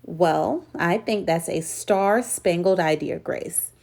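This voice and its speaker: A woman's voice: on the low side, slow, creaky, a little mellow, voice pushed down, full character voice. A woman voicing an encouraging, instructive female schoolteacher in a storybook, addressing her young class.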